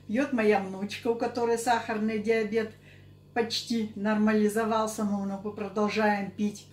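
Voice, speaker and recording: A woman of sixty-seven giving halting, unscripted interview answers, often repeating herself. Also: medium pitch, flat delivery, a little mellow. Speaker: interviewee giving a product testimonial. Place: small curtained indoor office. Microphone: camera a couple of metres away. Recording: echoey room.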